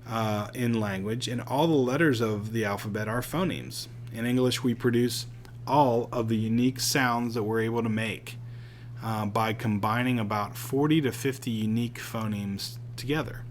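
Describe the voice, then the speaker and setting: A man's voice: medium pitch, normal speed, slight background noise. A man from Pittsburgh with a Pittsburgh accent, teaching a recorded lecture in a chatty, conversational way with casual asides.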